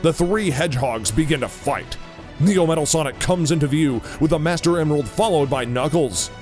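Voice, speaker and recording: A man's voice: fairly high pitch, moderate pace, darkish tone, soft background music. A man giving scripted narration for an audio drama as its narrator.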